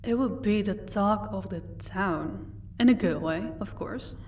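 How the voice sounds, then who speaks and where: A woman's voice: mid-range voice, a little slow, a little mellow, far from the mic, slight background noise, lightly acted. A woman playing a proud, sardonic vampire captor in an audio roleplay, commanding and dryly sarcastic. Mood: smug.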